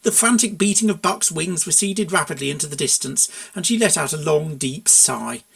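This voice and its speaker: A narrator reading a comic fan fiction story aloud in an expressive storybook style. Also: medium pitch, moderate pace, creaky.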